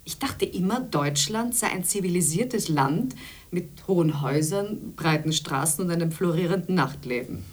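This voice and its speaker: A woman acts a chatty, sociable conference colleague with a notably low voice. She talks in a wry, teasing way and likes to complain, in radio play dialogue. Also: lively intonation, moderate pace, lightly acted. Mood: wry complaint.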